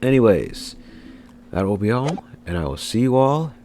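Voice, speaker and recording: A man's voice: medium pitch, sing-song, normal speed, dark tone, slight background noise. A congested man with a cold, giving rambling, self-correcting let's play commentary. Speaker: gaming video host. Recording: game music behind.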